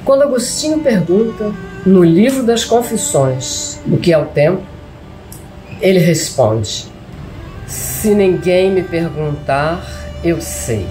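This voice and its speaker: A woman recites a poetic text at a very slow pace. Her voice is slightly low and her delivery solemn and declamatory, with clearly audible music behind her. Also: animated, dark tone, poor audio. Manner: solemn, declamatory, measured phrasing. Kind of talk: reading a poetic tribute text aloud.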